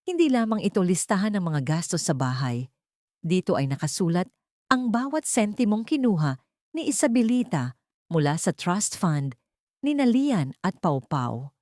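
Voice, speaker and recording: A woman narrates a dramatic audiobook. Her voice sits low for a woman, and she delivers scripted storytelling in a close, dry sound. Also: a little mellow, dry recording.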